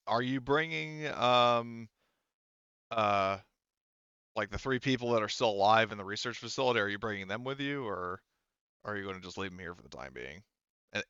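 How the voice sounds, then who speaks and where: Male game master, crisp voice, halting improvised narration, dry, slightly muffled recording. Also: lowish voice, sharp tone, no room sound. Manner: halting, hesitant phrasing, repeats "you know" and "like". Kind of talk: running a tabletop roleplaying game, unscripted narration.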